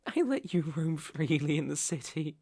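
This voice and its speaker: A man with a British accent playing an unstable, obsessive mafia boss in a dramatic ASMR roleplay, possessive and volatile, with a breathy, gravelly voice. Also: fairly high pitch, expressive, normal speed, warm tone, no room sound, clean studio sound. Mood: wounded, menacing. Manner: possessive, volatile, swinging from whispers to outbursts. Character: unstable, obsessive, menacing male mafia boss, jilted lover.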